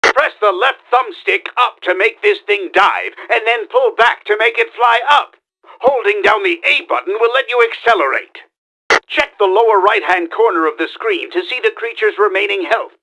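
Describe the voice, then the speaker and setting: A bombastic mad scientist villain from a video game. His voice sits high and swings widely, with a gritty, sharp edge. He delivers instructions in a pompous, theatrical way, as if every line were a proclamation. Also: creaky, full character voice, low-quality recording. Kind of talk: video game tutorial voice lines.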